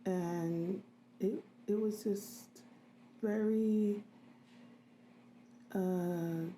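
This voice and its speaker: A middle-aged woman in an interview, recalling her childhood in a halting way with many pauses. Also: mid-range voice, vocal fry, dark tone, slight background noise, poor audio. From grew up in a US city in a Haitian immigrant family. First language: Haitian Creole. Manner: halting, many ums and restarts. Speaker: interviewee, registered nurse. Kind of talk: reflective interview recollection.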